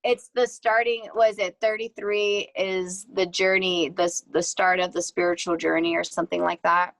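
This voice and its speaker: A woman's voice: fairly low pitch, flat delivery, moderate pace, vocal fry, a bit bright, no echo, poor audio. A woman of thirty-two, a client in a coaching session, chatting casually and often laughing. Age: 30s. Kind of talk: casual chat.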